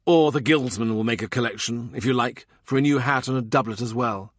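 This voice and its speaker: A man's voice: medium pitch, brisk, no room sound, studio quality, close to an everyday voice. A man voicing a shrewd, wry and persuasive royal adviser with a courteous, probing manner in a historical audiobook. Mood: wry persuasion.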